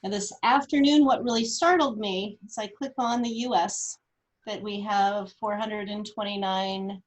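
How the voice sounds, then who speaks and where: A woman presents information in an informal, unscripted way. Her pace is very unhurried and her pitch sits in the middle. She halts and thinks aloud as she works through it. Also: fairly even intonation, low-quality recording. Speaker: guest presenter explaining data; cancer research nurse. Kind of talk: informal data walkthrough.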